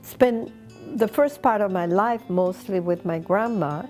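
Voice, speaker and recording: A woman in her eighties from Peru, a Spanish speaker, reminiscing in an unhurried way with pauses between short phrases. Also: medium pitch, darkish tone, faint music behind. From Lima, Peru. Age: 80s. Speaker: interviewee recounting personal history. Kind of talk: reminiscing oral history interview.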